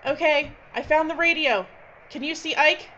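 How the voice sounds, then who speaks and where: A high-pitched, tense female filmmaker in a horror audio drama played by an actress. She gives orders in short, urgent bursts. The recording is dull, with heavy noise behind her. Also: moderate pace, natural voice. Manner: urgent, clipped, commanding. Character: tense, bossy female filmmaker trapped in a frozen station.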